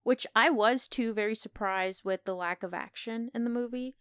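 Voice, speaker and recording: A woman's voice: a little high, normal speed, a bit bright, clean tone, no room sound, clean studio sound. A woman co-hosting a podcast, casually discussing film in a conversational, opinionated way. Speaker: podcast co-host.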